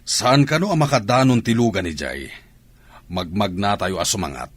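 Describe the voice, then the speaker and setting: Actor as commanding military leader, urgent. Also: male voice, fairly low pitch, expressive, moderate pace, slightly rough. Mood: grave, commanding. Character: male military commander leading soldiers into battle. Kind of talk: Ilocano comedy radio drama acting.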